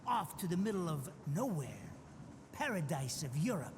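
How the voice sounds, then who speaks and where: Game sidekick: weaselly American informant, high and animated, wheedling, in ringing reverb with noise. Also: male voice, moderate pace. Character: weaselly, wheedling small-time male informant, excitable and greedy. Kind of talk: video game cutscene acting. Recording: noisy, long echoing reverb.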